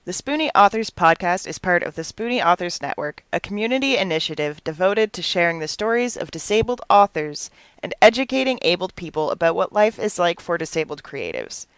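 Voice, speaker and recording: A woman's voice: fairly low pitch, sing-song, brisk, few pauses, clean tone, no room sound. A woman hosting a podcast, speaking in a conversational interviewing style.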